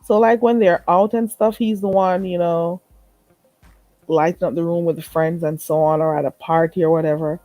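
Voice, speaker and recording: A woman's voice: on the low side, fairly even intonation, normal speed, mellow, no room sound, faint music behind, low-quality recording. Woman chatting on a late night stream, stuffy nose, a bit nasal, keeps repeating herself, dry sound. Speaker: live stream host. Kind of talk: late night live chat with viewers.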